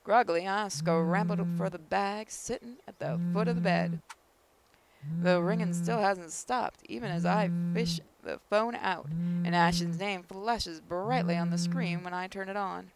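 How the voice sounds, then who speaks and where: A gaming host with a creaky, mid-pitched voice reads visual novel text aloud and chats about it. Words occasionally trip and get repeated. The recording carries a noticeable rumbling noise. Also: steady tone, measured pace. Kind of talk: reading narration aloud, with casual commentary. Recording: clearly noisy mic with low rumble.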